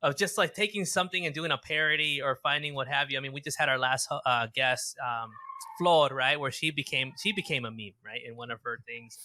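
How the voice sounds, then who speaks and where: Man, podcast host, casual chat, eager, stop-start phrasing, bright tone, dry sound. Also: medium pitch, fairly quick, no room sound. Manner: eager, with frequent restarts and repeated words.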